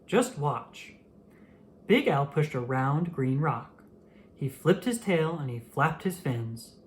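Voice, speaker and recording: An expressive storyteller reads a picture book aloud with a mid-pitched, very animated voice. The delivery rises and dips widely to act out the tale. Faint noise sits behind the reading. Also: takes it slowly. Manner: animated, acts out the dialogue.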